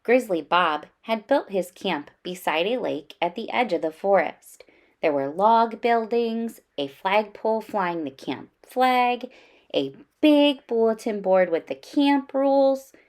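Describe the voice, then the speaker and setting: A woman narrates a children's storybook at a slow, unhurried pace. Her pitch moves up and down in a lively way, and she reads expressively, leaning on key words. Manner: unhurried, animated reading with emphasis. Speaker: children's read-aloud storyteller.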